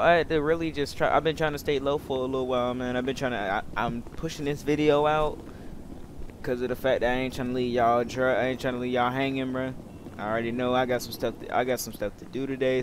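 A young American man giving casual, rambling, slangy commentary over roleplay gameplay, often repeating himself. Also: mid-range voice. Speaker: gaming video creator. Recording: loud game engine and street noise. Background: video game vehicle and city ambience.

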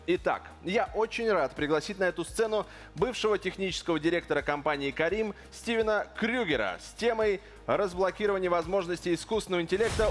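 A man hosting a conference, formally announcing the next speaker in a measured way. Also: a little high. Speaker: conference host.

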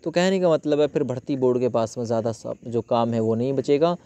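A man's voice: medium pitch, a little fast, dark tone. A man hosting an informal update for viewers, explaining in a familiar way and repeating phrases for emphasis. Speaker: host of an exam update channel. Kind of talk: informal explainer talk to viewers about exam news.

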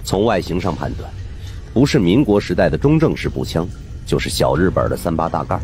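A man with a low, deep voice narrates a horror suspense novel like a storyteller. His pitch moves widely as he builds each scene, with music underneath. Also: brisk. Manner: storytelling, expressive. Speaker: audiobook narrator.

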